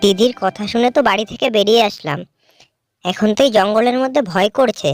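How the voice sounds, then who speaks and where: A woman's voice: mid-range voice, fairly quick, soft background music, close to an everyday voice. A woman acting a young village sister in a cartoon folk tale. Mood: worried, meek. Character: two village sisters, one sweet, one spiteful.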